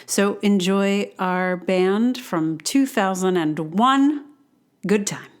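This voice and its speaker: A woman's voice: medium pitch, slow pace. A middle-aged woman hosting a podcast solo in casual, rambling talk that often interrupts itself and apologizes. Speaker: podcast host.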